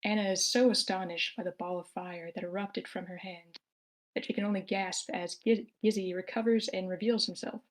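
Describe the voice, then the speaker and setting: A woman narrates a screenplay in a live online table read. Her voice sits at a medium pitch as she reads out scripted action. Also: fairly even intonation, moderate pace, rough recording. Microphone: remote online meeting.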